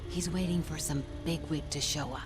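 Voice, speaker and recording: Woman playing a low-voiced, urgent female informant. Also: normal speed, noisy background, lightly acted. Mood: hushed. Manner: hushed, urgent. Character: gutsy, anxious female informant, urgent and determined. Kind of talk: video game cutscene acting.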